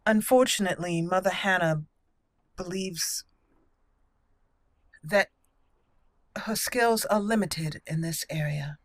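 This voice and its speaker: A woman voicing an earnest elf mage leader in a fantasy game roleplay, formal and measured. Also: fairly low pitch, no echo. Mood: formal, concerned.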